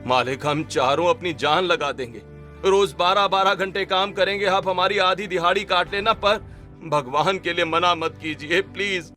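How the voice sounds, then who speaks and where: A man acts out a young working son in a melodrama. His voice is bright and high, earnest and pleading, over soft music. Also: normal speed.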